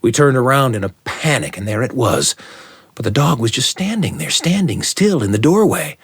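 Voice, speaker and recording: A middle-aged man tells a story in a dry, close recording. His pitch moves widely as he builds suspense, with deliberate pauses and a dramatic delivery. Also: sing-song, slightly rough, dry recording. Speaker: storyteller and show host. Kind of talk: dramatic first-person oral storytelling.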